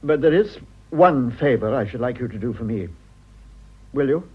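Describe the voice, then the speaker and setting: English gentleman husband, radio drama. Measured, formal, self-sacrificing, mid-pitched voice with muffled sound. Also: lively intonation, breathy edge. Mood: earnest, restrained. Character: earnest, self-sacrificing English politician husband, wounded but dignified.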